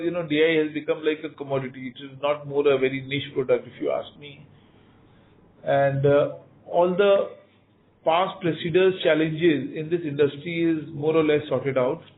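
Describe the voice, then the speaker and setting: A man with an Indian accent answering analysts as a company executive on an earnings call, emphatic, repeating words and often saying "you know". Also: on the high side. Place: conference call over phone line. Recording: narrow tinny phone-line audio.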